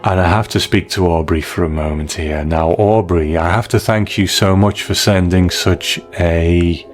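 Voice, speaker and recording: A man's voice: low voice, fairly even intonation, normal speed, faint music behind. A man hosting a podcast, talking conversationally and appreciatively to his listeners. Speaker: podcast host. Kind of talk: podcast listener feedback and sign-off.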